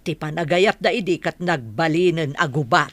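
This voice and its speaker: A woman's voice: deep voice, moderate pace, a bit bright, no echo. A woman with an Ilocano accent playing a gossipy, motherly older village woman in a radio drama.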